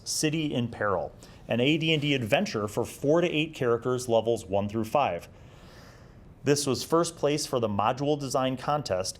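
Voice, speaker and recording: A middle-aged man hosting a review series, talking casually and enthusiastically in an informal, rambling way as he pages through material. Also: medium pitch. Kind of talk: casual chatty magazine review, commenting while paging through.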